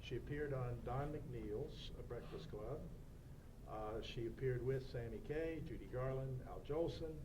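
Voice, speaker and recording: A man speaks as the moderator of an informal meeting, some way from the microphone. His delivery is halting and hesitant, with clear background noise around a mid-pitched voice. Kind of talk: informal spoken introduction of a guest. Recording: distant mic in noisy meeting room.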